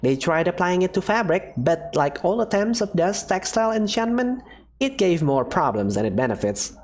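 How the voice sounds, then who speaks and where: A woman's voice: lowish voice, very animated, normal speed, a little mellow, voice pushed up. A woman voicing a cheerful, reckless female professor who lectures breezily and teases her assistant. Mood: lecturing. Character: eccentric, cheerful female dust science professor. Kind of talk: fan game voice acting.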